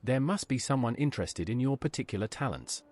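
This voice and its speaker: A man's voice: medium pitch, animated, a little fast, darkish tone, close to an everyday voice. A man's voice playing a helpful, straightforward adventurer in a video game, speaking in brief, plain lines. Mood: earnest, helpful. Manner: plain, brief questions and statements.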